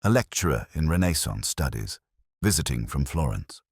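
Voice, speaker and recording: Reserved female academic read by a male narrator, very deep register, composed and measured, detective audio drama, dry sound. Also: sing-song, breathy edge, dry recording, exaggerated voice. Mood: calm, reserved.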